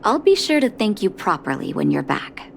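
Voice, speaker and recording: An actress voicing a composed, caring and quietly firm woman doctor in a video game, measured and polite. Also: mid-range voice, sing-song, creaky, slight background noise. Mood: warm, grateful. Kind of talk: video game character acting.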